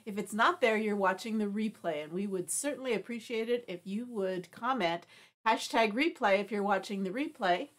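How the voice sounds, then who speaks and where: A woman's voice: mid-range voice, moderate pace. A middle-aged woman hosting a live craft demo from her home craft room, chatty and rambling, sniffling with allergies. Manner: chatty, friendly, rambling with restarts. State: stuffy nose, sniffling from allergies. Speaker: craft show host.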